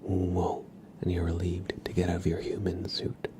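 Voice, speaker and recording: A man hosting an ASMR livestream, speaking in a breathy whisper and soft murmur, soothingly repeating words to viewers at a close microphone. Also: low-pitched, completely flat delivery, moderate pace, noisy background. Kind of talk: ASMR, slow whispered roleplay and chat to viewers.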